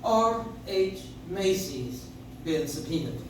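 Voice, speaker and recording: A man's voice: on the high side, sing-song, slow pace, darkish tone. A man playing an exasperated, henpecked prosecutor and family man in an old-time radio play, sounding flustered and grumbling. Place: live stage in a coffee house. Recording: roomy, distant, noisy audience recording.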